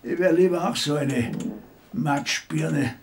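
A bedridden old Austrian man in a radio drama. His voice is gravelly and a bit high for a man, with faint noise behind it. He is bitter, mocking and morbidly blunt. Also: slow. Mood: morose. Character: bitter, sarcastic, bedridden old male care-home patient.

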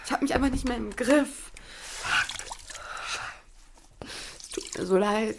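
A woman's voice: on the high side, unhurried, gravelly, background noise. An actress playing a bitter, volatile former athlete in her thirties in a naturalistic audio drama, with a blunt and moody delivery. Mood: distraught, remorseful. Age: 30s. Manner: blunt, moody, self-deprecating.

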